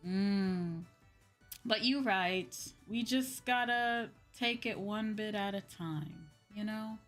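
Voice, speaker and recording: A woman chats casually to her livestream viewers at an unhurried, slow pace. Her pitch sits in a middle range for a woman, and she stretches and repeats her exclamations. Faint music plays behind her voice. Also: fairly bright tone. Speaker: livestream host.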